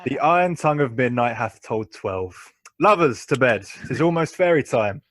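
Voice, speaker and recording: A man acting an amused, gracious and commanding duke, speaking measured verse in a Shakespeare radio play. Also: medium pitch, fairly bright tone, no echo, lightly acted. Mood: stately warmth.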